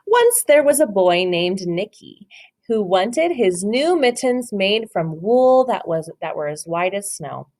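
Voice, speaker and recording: A woman's voice: mid-range voice, animated, unhurried, a little mellow. A woman teacher reading a picture book aloud to children, encouraging them and asking questions about the pictures.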